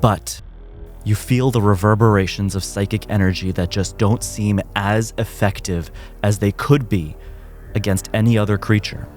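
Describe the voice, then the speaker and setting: A man narrating as game master, describing scenes in a calm, measured way. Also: lowish voice, faint music behind.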